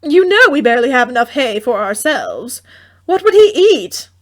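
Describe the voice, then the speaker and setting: Casting: woman as storybook farm mother, practical and exclamatory, pitched high. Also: sing-song, normal speed. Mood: doubtful. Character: practical, easily surprised farm mother in fairy tale. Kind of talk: fairy tale audiobook character voice.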